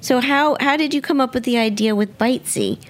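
A woman's voice: high voice, brisk. A woman hosting a conversational radio interview, enthusiastic and often repeating words as she goes. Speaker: radio show host and interviewer.

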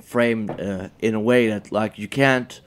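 A man's voice: lowish voice, fairly even intonation, unhurried, slight background noise. A man in his thirties from Norway with a Norwegian accent, a brewery guest chatting casually and joking about himself. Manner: joking, self-deprecating. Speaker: brewery sales manager guest. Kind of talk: casual interview chat.

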